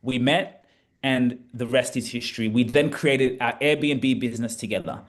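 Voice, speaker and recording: A young Australian man in a casual vlog, telling a story with lively, repeated emphasis as a property coach. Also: medium pitch, normal speed. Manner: animated, repeats words for emphasis.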